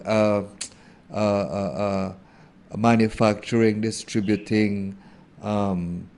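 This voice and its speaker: A man, a theologian answering an interview question in a thoughtful, halting way with many fillers. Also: on the low side, mellow. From Jamaica.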